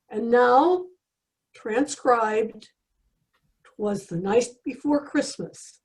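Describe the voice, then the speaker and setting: A woman announcing in old-time radio suspense style, measured and theatrical. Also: on the high side, a little mellow, low-quality recording.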